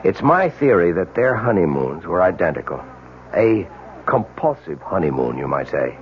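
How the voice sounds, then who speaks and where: An American actor playing a dogged, world-weary police detective in a radio drama, speaking earnestly and insistently with deliberate dramatic pauses. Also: male voice, low voice, sing-song, slight background noise. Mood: intense, persuasive. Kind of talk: 1970s radio drama acting. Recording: dull, lo-fi old radio drama recording.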